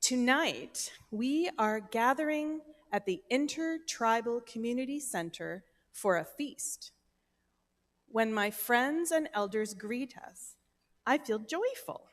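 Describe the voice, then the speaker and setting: Lively woman reading a children's book slowly. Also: on the high side. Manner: gentle, expressive storybook delivery. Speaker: children's story reader. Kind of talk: reading a picture book aloud. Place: church sanctuary, no microphone at first.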